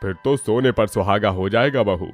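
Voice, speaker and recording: A man voicing an older, homely father-in-law who speaks expectantly in an animated family story. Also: fairly low pitch, moderate pace, faint music behind, voice pushed down, natural voice.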